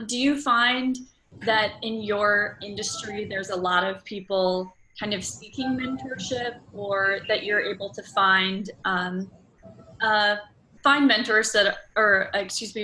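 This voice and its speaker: Friendly woman host chatting away, real bright voice, some clear noise behind her. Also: mid-range voice, poor audio. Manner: friendly, effusive. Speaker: interviewer, host. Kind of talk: casual conversational interview. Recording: noticeable background noise.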